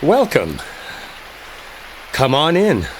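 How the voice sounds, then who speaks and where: A man's voice: on the high side, huge pitch swings, very slow, slight background noise, full character voice, rough recording. A man playing an exasperated, sarcastic, stubborn guy in a dramatic roleplay audio, talking impatiently with rain behind him. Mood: wry, hurried. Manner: impatient, sardonic, conversational, with mild swearing. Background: rain storm ambience.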